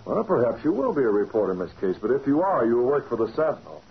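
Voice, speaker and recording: An actor playing a brisk, commanding American newspaper publisher with a clipped, urgent delivery in an old-time radio drama. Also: male voice, medium pitch, big pitch swings, slightly rough, heavily acted. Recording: muffled, noisy old radio broadcast recording.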